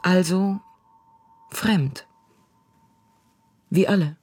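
A woman reading a literary text aloud in a measured way, pausing between short phrases. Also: fairly low pitch, darkish tone. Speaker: reader. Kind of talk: slow literary reading over music. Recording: faint singing bowl music behind.

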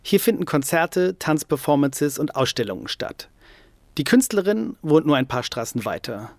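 A man's voice: fairly high pitch, animated, normal speed, no echo. A man narrating a scripted radio feature as the reporter.

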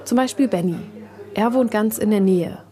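Mellow woman reporter, scripted narration. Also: mid-range voice, moderate pace.

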